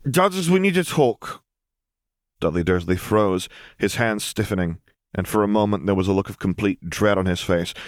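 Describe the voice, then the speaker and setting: Low-voiced man narrating fiction. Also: no room sound, studio quality. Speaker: audiobook narrator.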